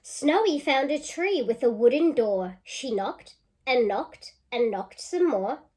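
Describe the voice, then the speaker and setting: A woman teacher reading a picture book aloud to children, expressive and pausing to put questions to listeners. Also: high voice.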